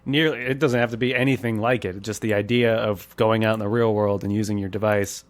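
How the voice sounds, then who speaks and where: A man's voice: lowish voice, fairly even intonation, brisk. A man co-hosting a podcast, talking in a casual, conversational style. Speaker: podcast co-host. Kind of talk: casual chat.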